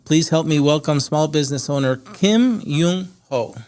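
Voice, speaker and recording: A man's voice: a little high, animated, moderate pace, darkish tone. A man hosting a podcast, speaking in a conversational interview style, a non-native English speaker. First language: not English. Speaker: podcast host.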